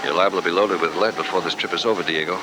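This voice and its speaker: An actor playing a tough, resourceful adventurer hero in clipped 1940s radio style. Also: male voice, low-pitched, steady tone, racing, close to an everyday voice. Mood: cool, determined. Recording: very noisy old 1940s radio recording.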